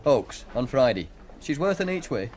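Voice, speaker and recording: An actor playing a cocky young student with a Northern English accent, wry and casual, in naturalistic radio drama. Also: male voice, mid-range voice, very animated, moderate pace, background noise, close to an everyday voice. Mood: wry banter. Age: young adult. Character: Mark, cocky young student with a betting knack.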